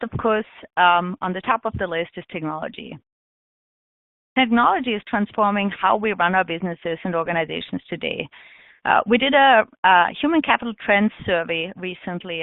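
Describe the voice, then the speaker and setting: A woman from Austria giving a webinar presentation, talking in a measured way with frequent ums. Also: mid-range voice, dry recording. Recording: tinny phone-line audio.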